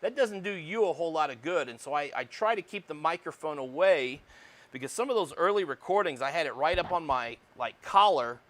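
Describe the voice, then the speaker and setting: A man teaching an electronics lecture on a lapel mic, talking loudly and projecting his voice, with lots of fillers and frequent tag questions. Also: on the high side, animated, fairly quick. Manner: loud projecting voice, says he yells. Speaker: college electronics instructor. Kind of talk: recorded lecture.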